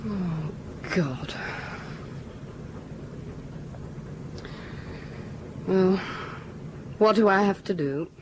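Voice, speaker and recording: A woman's voice: on the low side, slow, background noise. An actress in a radio drama playing a reluctant, indignant young woman with a tart, exasperated manner.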